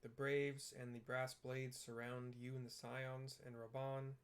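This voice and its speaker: A man's voice: medium pitch, measured pace. A man narrating game cutscenes in a casual, plain-spoken way. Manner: flat, plain-spoken describing.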